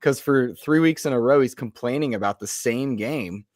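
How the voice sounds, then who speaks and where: A man co-hosting a gaming podcast in a casual, animated chat with emphatic asides. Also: medium pitch, normal speed, no room sound, studio quality. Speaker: podcast co-host.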